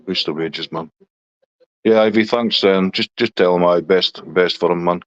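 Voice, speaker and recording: A man's voice: sits low, normal speed, dry recording, muffled recording. A middle-aged man from Scotland with a Scottish accent, chatting casually on a live stream and answering viewers' comments.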